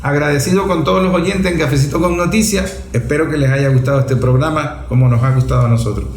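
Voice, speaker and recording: A man's voice: a little high, steady tone, quick pace, heavy reverb. A man hosting a radio talk show, eagerly agreeing and finishing his guest's sentences. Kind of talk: casual political chat.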